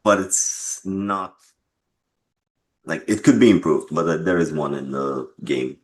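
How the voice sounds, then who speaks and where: A man answering interview questions as a game developer, explaining casually in measured short phrases with pauses between them. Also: rumbling low.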